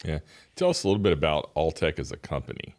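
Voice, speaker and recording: A man hosting a podcast, who introduces his guests and asks friendly questions at a brisk pace. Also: very deep voice, sing-song, darkish tone, no echo. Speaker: podcast host.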